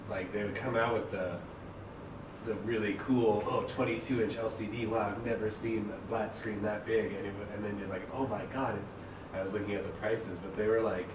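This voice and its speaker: A man's voice: lowish voice, fairly even intonation, normal speed. A man at a meetup, joking and chatting casually with the group. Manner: laughing, jokey. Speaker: meetup attendee. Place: meetup room. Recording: roomy, from far off, audible noise.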